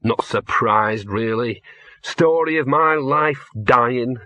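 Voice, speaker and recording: A man's voice: mid-range voice, slow pace, bright, forceful tone, no echo. Man playing a grumbling little talking dog. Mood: resigned. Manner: colloquial, grumbling, rough-and-ready speech. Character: small scruffy talking dog, wry and plaintive.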